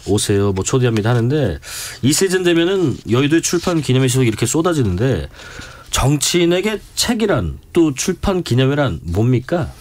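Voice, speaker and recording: A man's voice: medium pitch, big pitch swings, moderate pace, a little mellow. A man hosting a radio talk show, putting questions to his guests in a conversational style. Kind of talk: conversational interviewing. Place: radio studio.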